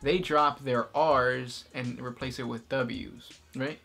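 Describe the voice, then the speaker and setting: A man's voice: medium pitch, fairly even intonation, slow pace, vocal fry, sharp tone, husky, faint music behind. A man co-hosting a casual chat, laid-back and joking, trailing off with ums. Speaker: co-host.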